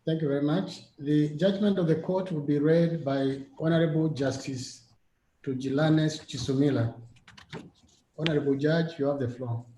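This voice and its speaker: Mellow man presiding, echoey noisy room. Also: fairly high pitch, moderate pace. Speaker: presiding judge, court president. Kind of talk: formal courtroom chairing. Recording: echoey room with clear background noise.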